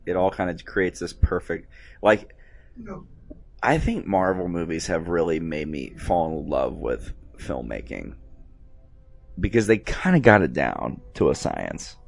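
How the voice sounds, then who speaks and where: A man's voice: low voice, big pitch swings, a little slow, creaky. A man giving casual, enthusiastic, sweary reaction commentary on a film as its host. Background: movie music.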